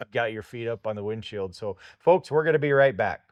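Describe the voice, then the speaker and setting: A man's voice: medium pitch, animated, normal speed, no room sound. A man hosting a farm talk show, chatting in a plainspoken, folksy way. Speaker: host. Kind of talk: casual radio talk.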